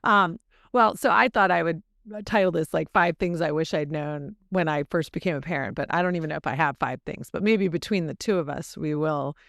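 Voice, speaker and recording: A woman with a cold and a deep, throaty voice, chatting casually as a podcast co-host and mother. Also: fairly quick, gravelly, a bit bright, no echo, studio quality. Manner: chatty, rambling, filler-heavy. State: husky from a cold. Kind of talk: casual chat.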